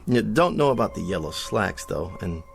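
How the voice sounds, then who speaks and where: An actor playing a vain TV cowboy star who is easily cowed, with an American accent, in a radio drama. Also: male voice, fairly low pitch, sing-song, normal speed, creaky, a little hiss or hum. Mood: uneasy.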